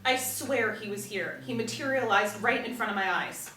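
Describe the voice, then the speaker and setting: A woman's voice: medium pitch, moderate pace, bright, forceful tone, lightly acted. A woman in her thirties reading the part of a weary, easily alarmed young actress from a script. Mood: shaken. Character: young actress Anna, weary then frightened and insistent. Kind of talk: script read aloud at a table reading. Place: small indoor room, seated group. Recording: roomy, distant mic with faint noise.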